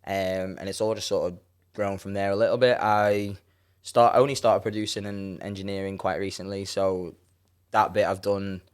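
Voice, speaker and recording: Laid-back Scouse young man, smooth voice. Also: deep voice, moderate pace. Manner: relaxed, laughs often, trails off into fillers. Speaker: rapper and producer guest. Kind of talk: casual interview chat.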